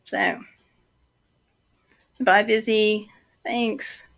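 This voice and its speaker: A woman hosting a casual livestream and reading out viewer comments, talking slowly with many fillers while her voice is going hoarse. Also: medium pitch, fairly even intonation, fairly bright tone. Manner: slow, chatty, many fillers. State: losing her voice, throat clearing. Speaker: livestream host.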